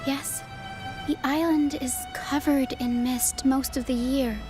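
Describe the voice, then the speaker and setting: A woman playing a calm, quirky young female adventurer in a video game, measured and gently playful. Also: high-pitched. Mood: calm, curious. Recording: loud game music behind the voice. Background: game music.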